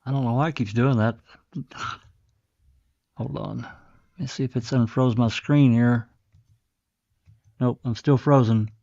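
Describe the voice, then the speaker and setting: A man's voice: medium pitch, steady tone, unhurried, creaky, long pauses, mellow, no echo, lo-fi sound. A man hosting a livestream, giving casual commentary between replayed recordings. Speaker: livestream host.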